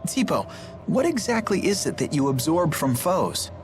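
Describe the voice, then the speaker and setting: A woman's voice: low-pitched, big pitch swings, normal speed. A woman voicing a kind, earnest, hopeful teenage boy in a video game. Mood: earnest, curious. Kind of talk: English video game voice acting. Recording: background music under the voice.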